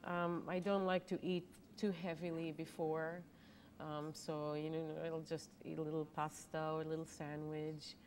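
Low-voiced woman, Hebrew native speaker. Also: normal speed, a little hiss or hum. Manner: candid, animated, laughs easily. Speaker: opera singer guest. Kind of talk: TV studio interview. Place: TV studio.

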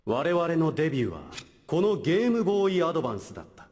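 An actor playing a haughty young prosecutor in a comic courtroom skit, with a formal, pompous, dramatic delivery. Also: male voice, a little high, sing-song, fast talker, a little mellow. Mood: proud, nostalgic. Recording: reverberant concert hall, faint music.

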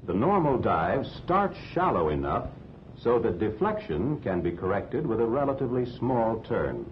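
An American man reading scripted instructional narration for a 1940s training film in a measured, didactic way. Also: sing-song. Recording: dull 1940s film soundtrack, rumbling noise.

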